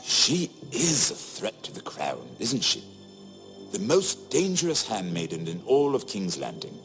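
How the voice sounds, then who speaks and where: A man's voice: fairly low pitch, wildly swooping pitch, a little slow, gravelly, fairly bright tone, soft background music, exaggerated voice. An actor playing a shrewd, sardonic nobleman in a fantasy game, with a dry, wry and courteous manner. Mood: wry sarcasm. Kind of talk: dramatic fantasy game acting.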